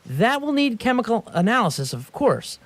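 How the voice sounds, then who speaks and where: An actor playing an eager, deferential, slightly fussy police subordinate in a radio play, hesitant and polite. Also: male voice, extremely high pitch, very animated, normal speed, dry recording. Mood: earnest, precise. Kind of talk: radio theatre acting.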